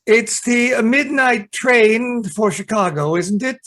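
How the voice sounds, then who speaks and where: A man's voice: very high voice, slow pace, a bit bright, exaggerated voice. A man playing a polite, hesitant and sly valet in a radio play. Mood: deferential, nervous. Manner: hesitant, polite servant's delivery. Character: deferential, hesitant, secretly treacherous male valet. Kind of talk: amateur radio play acting.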